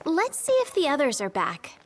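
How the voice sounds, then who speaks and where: Friendly, teasing teenage girl for a game cutscene, bright-spirited with animated, higher pitch. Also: normal speed. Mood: playful, upbeat. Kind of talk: video game cutscene acting.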